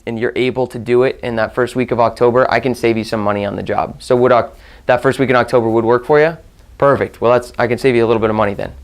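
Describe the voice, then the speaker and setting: Male sales trainer, mid-pitched, instructional delivery with demonstrated call lines, restates points for emphasis. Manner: repeats and rephrases for emphasis.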